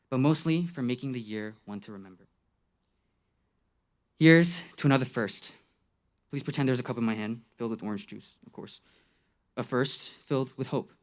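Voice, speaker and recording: A teenage male student delivers a graduation address at an ordinary middle pitch. He is playful and tongue-in-cheek, mixing earnest, emphatic phrasing with youth slang and deadpan asides. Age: late teens. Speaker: graduating student giving a class speech.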